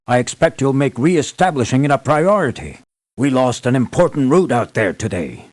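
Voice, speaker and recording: An actor playing an earnest, measured male leader giving formal, deliberate briefings in a scripted video game. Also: medium pitch, natural voice. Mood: grave, urgent. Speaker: mission briefer.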